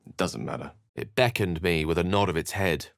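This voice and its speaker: A learned, refined wizard from a fantasy video game. His voice is low and closely recorded with a dry sound. He speaks eloquently and with wry polish, measuring out his phrases like a theatrical storyteller. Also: a little fast, creaky, smooth, no echo. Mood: musing, measured.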